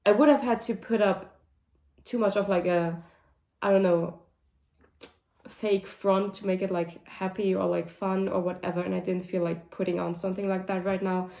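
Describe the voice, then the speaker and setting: A woman with a hoarse, raspy voice talking casually through a book wrap-up, with lots of "like" and "um" fillers. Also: medium pitch, steady tone, normal speed, mellow. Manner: rambling, full of "like" and "um" fillers. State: hoarse, voice sounding deep and raspy. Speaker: book vlogger. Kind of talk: casual monthly reading wrap-up chat. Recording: roomy echo.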